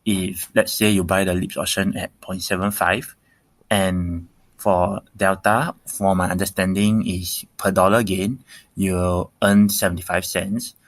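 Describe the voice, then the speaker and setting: A man with a very low voice leaves a voicemail question in a dry recording. He talks slowly and haltingly, rewording his phrases as he works through them. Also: little pitch movement, no room sound. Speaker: caller leaving a voicemail question. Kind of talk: hesitant spoken question.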